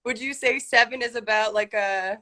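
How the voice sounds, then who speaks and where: A woman actress being interviewed in a casual chat, relaxed and rambling, laughing often. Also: normal speed. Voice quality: calls her own voice deep. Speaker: guest actress.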